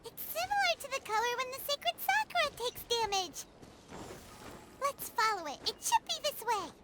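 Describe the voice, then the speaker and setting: A woman voicing a tiny childlike female fairy companion in a video game, with a squeaky voice and an excitable, chatty manner. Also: moderate pace, exaggerated voice. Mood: chatty, eager. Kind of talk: scripted video game dialogue. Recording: music behind the dialogue.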